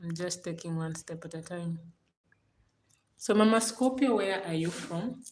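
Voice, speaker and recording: Kenyan woman with a low voice, thanking her viewers. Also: unhurried, reverberant. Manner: repeats her thanks over and over; warm and gracious toward her viewers. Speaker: livestream host. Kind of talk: casual livestream chat with viewers.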